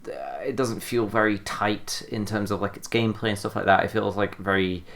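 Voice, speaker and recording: A podcast co-host chatting casually about video games in a rambling, halting way with frequent "like". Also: low-pitched, vocal fry, fairly bright tone.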